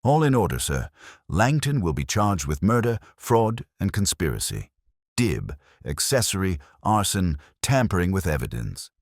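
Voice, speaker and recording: A man's voice: deep voice, very animated, moderate pace, no room sound, studio quality, pitched above the performer's own voice, heavily acted. A man voicing an eager, deferential police sergeant in a detective story, keen and plain-spoken with his superior. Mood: brisk, satisfied.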